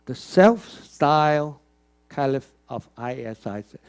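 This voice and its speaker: A man's voice: mid-range voice, lively intonation, slow, a little mellow, clear, resonant tone. An older man giving a conference keynote, emphatic, asking the audience questions and often repeating words. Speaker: keynote speaker. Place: conference hall.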